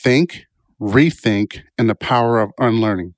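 A man's voice: on the low side, unhurried, no echo, studio quality. A man speaking informally in a self-help style, reflective and rambling through personal stories, often checking in with a questioning "right?". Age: adult, past college years. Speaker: motivational speaker.

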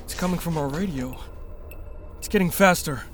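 A man playing a calm, serious engineer and protective survivor in a sci-fi radio drama, explaining things steadily. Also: on the high side, moderate pace, a little hiss or hum, close to an everyday voice. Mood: focused, cautious.

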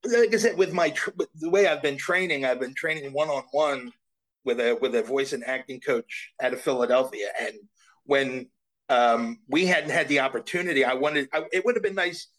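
A man's voice: medium pitch, moderate pace, fairly bright tone, low-quality recording. A middle-aged man as an interview guest, talking earnestly and self-deprecatingly with many restarts. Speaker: voice actor guest. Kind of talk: casual interview chat.

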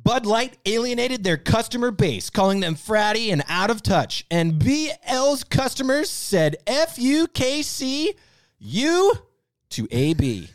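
A man with a very animated, swooping delivery, co-hosting a comedy podcast. He banters casually and reads aloud with playful theatricality. The sound is dry and close. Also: very high voice, unhurried, no room sound. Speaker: podcast co-host. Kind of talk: casual comedic banter and mock-dramatic comment reading.

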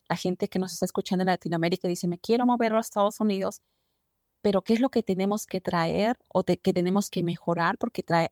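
Woman host, lowish voice, dry close mic, long emphatic questions. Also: darkish tone, no echo. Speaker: podcast host. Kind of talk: interview questions.

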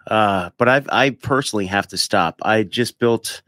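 A man's voice: fairly low pitch, normal speed, a bit bright, dry recording, clean studio sound. A man hosting a podcast in a casual, informal and enthusiastic chatting style. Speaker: podcast host. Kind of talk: casual interview chat.

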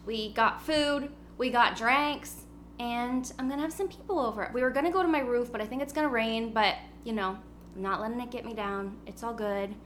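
A woman's voice: a little high, normal speed, fairly bright tone. A woman in her twenties hosting a casual podcast from home, bubbly and giggly as she rambles along. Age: 20s. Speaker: podcast host. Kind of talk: casual chat. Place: at home before a party. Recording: noisy with electrical hum.

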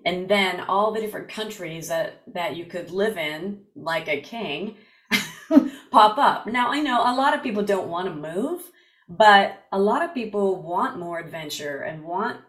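A woman's voice: lowish voice, animated, a little slow, fairly bright tone, roomy sound. A woman hosting a podcast, giving an enthusiastic scripted intro and then chatting casually with her guest. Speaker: podcast host. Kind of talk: casual interview chat.

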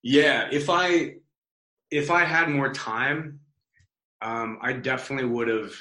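A man's voice: medium pitch, slow pace, bright, forceful tone. A man guesting on a podcast interview, talking casually with plenty of fillers and swearing. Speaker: guest, business founder. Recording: roomy, echoey sound.

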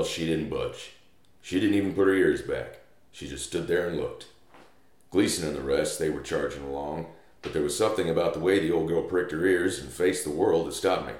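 A rugged Old West cowboy, as a male narrator reads him with an extremely low voice. He is reassuring and folksy, like a storyteller in a western tale, heard in an echoey room. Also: fairly quick, a little mellow. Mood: reflective. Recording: roomy, echoey room sound.

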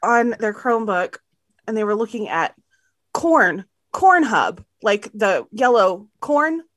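A woman's voice: mid-range voice, lively intonation, slow pace, a bit bright, no room sound. A woman who works as a teacher, co-hosting a casual review podcast and telling giggly stories. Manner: giggly storytelling.

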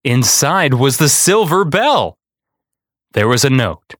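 A man reading a children's picture book aloud in a deliberate, dramatic storytelling style. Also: medium pitch, very animated, a bit bright, no echo, clean studio sound. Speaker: storyteller and podcast host.

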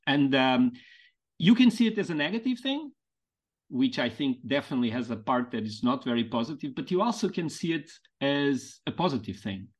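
Portuguese guy talking english on a podcast, kinda high voice, slow thoughtful pauses. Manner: thoughtful, halting, stretches key words slowly. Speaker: podcast guest, mentoring founder. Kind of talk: reflective conversational interview.

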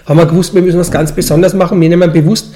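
Fast-talking Franconian man, mellow. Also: sits high, steady tone. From Franconian Switzerland, Bavaria, Germany. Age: 40s. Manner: colloquial dialect speech. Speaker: brewmaster and brewery owner, interviewee. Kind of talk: casual interview, explaining.

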